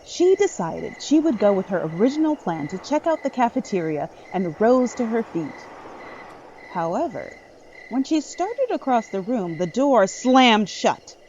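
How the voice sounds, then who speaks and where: Mellow, expressive female narrator. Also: a little high, a little slow, highs cut off, a little hiss or hum. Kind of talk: scripted dramatic narration.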